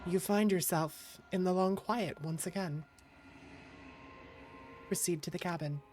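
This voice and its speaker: A woman's voice: fairly low pitch, measured pace. A woman streaming a game, reading visual novel narration aloud and chatting casually with viewers. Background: game music.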